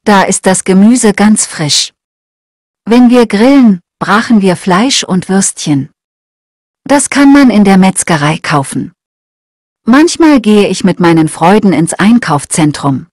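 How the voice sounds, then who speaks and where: A woman reading short learner texts aloud in a slow, clear, scripted way. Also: medium pitch, expressive, darkish tone, no echo, studio quality. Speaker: German lesson reader.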